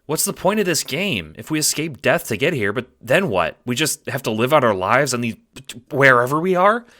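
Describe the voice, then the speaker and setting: A bewildered boy hero, played by a man, raised in pitch above a narrator's register and forceful in tone. He questions everything with incredulous frustration, in audio drama style. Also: big pitch swings, moderate pace. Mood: frustrated. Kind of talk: audio drama character acting.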